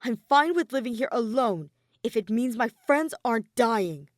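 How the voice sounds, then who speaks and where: A woman's voice: on the high side, lively intonation, normal speed, dry recording, voice pushed up, close to an everyday voice. A woman voicing a stubborn, weary teenage boy in a dramatic audiobook reading, earnest and incredulous. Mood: incredulous, defiant. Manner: earnest, protesting, dry.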